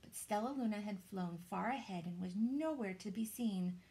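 A woman, reading a children's story aloud in a dramatic, deliberate way. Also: medium pitch, mellow, low-quality recording. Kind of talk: expressive children's storybook narration. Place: small indoor room with shelves.

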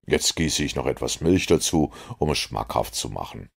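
A man doing a calm, deep-voiced detective. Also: vocal fry, slightly rough, full character voice. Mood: patient. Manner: measured, confident explaining. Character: keen, self-assured male detective, calm and commanding.

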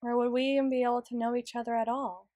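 A woman playing a flustered, prim, studious young tsundere in an ASMR roleplay, speaking in halting, stammering, formal sentences. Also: on the high side, flat delivery, dark tone, dry recording, studio quality, natural voice. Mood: hesitant, tender. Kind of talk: ASMR roleplay, acted monologue.

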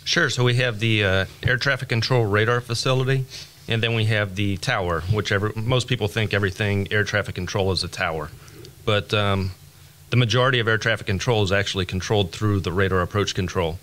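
A man's voice: on the low side, a little fast, fairly bright tone, slight background noise. A middle-aged man giving informal technical explanations as a guest, in a matter-of-fact way. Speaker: air traffic controller, podcast guest. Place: small indoor podcast studio. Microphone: close desk microphone.

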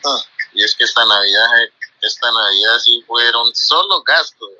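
Bright easygoing man on a phone. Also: medium pitch, normal speed, breathy edge, dry recording, low-quality recording. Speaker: friend on the phone. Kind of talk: casual phone chat. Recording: speakerphone, dry.

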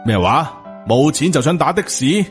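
A man's voice: fairly high pitch, expressive, normal speed, faint music behind, natural voice. A man with a Hong Kong Cantonese accent voicing a surly, impatient middle-aged taxi driver in a story, brusque and rude. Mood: impatient, angry.

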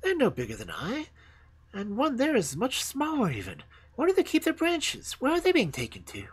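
A woman's voice: on the high side, huge pitch swings, moderate pace, husky, voice pushed up, full character voice. A woman voicing a restless young fir tree in a fairy tale, asking questions in a yearning, eager way. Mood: curious.

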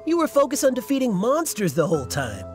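A man's voice: very high-pitched, wildly swooping pitch, quick pace, a little mellow, faint music behind, heavily acted. A man voicing an earnest, self-important young fan club leader in a video game, stammering and fussy. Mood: fussy, determined. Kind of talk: video game character acting.

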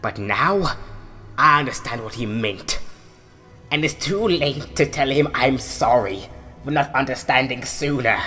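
A man voicing a betrayed skeleton hero turned grimly vengeful, speaking theatrically with dramatic pauses in a comic dub. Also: medium pitch, animated, sharp tone. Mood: grim, wounded. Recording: music behind the voice.

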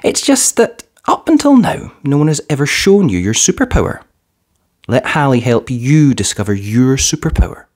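An expressive storyteller reads a children's picture book aloud. The pitch swings in a lively way, and phrases are delivered deliberately, with drawn-out endings.

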